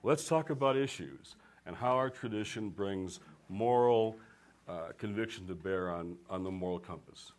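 A man's voice: on the low side, moderate pace. A man speaking as a guest author on a discussion panel, earnest, with a preacherly cadence and a habit of telling jokes.

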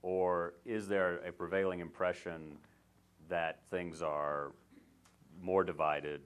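A man's voice: sits low, flat delivery, takes it slowly, clear, resonant tone. A man moderating a panel discussion, asking long probing questions that he frames carefully.

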